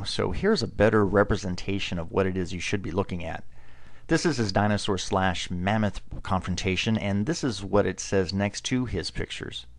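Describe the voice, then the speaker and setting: A man narrating a video voice-over with dry, mocking sarcasm. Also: on the low side.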